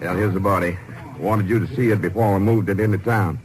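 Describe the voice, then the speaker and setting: An American actor playing a plain-spoken, cooperative country sheriff with a folksy, unhurried manner in a 1950s radio drama. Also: male voice, deep voice, fairly even intonation, crowd noise, natural voice, rough recording. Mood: helpful, plain. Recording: old 1950s radio recording, faint noise.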